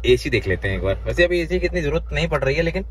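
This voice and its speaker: A man's voice: a little high, animated, rapid-fire. A man hosting a casual car walkaround vlog, chatty and informal, asking questions as he goes. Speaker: car vlogger, host. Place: open-air used car lot, partly inside car. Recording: outdoor ambient noise.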